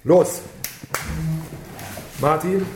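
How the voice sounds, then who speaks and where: Mellow-toned, brusque police inspector. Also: male voice, on the high side, drawn-out words, a little hiss or hum. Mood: sarcastic, commanding. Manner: brusque, sarcastic, commanding. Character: impatient, sarcastic male police inspector leading a murder case. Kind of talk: naturalistic radio crime drama acting.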